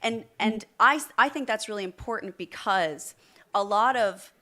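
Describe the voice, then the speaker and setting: A woman's voice: medium pitch, moderate pace, pushed and bright. A woman vocal coach interviewing a singer, asking questions and explaining her musical analysis with enthusiasm.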